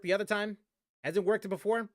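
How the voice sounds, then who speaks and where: A man's voice: high-pitched, fairly even intonation, moderate pace, no room sound, studio quality. A man streaming a game and ranting casually, rambling with many restarts and fillers. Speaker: gaming streamer.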